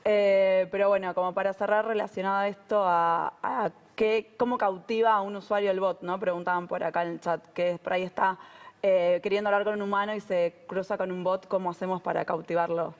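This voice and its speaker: A woman's voice: medium pitch, steady tone, a little fast, lo-fi sound. A woman moderating a conference panel, reading out audience questions in an upbeat, chatty way.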